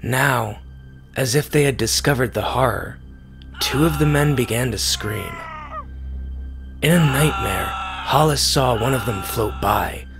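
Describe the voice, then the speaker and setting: A man giving a grave, deliberate dramatic reading of a science fiction short story, pausing for effect. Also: medium pitch, vocal fry. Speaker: amateur story reader. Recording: music behind the voice. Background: music.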